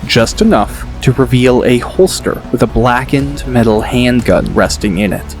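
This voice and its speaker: A man narrating an audiobook in a steady, descriptive storytelling manner. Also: mid-range voice, measured pace, soft background music.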